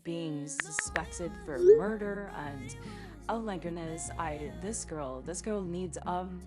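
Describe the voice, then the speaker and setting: A woman's voice: lowish voice, very animated, slow, creaky, music in the background, exaggerated voice. A woman playing a loyal, doubtful young friend in an amateur roleplay drama, casual and hesitant. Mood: doubtful, puzzled.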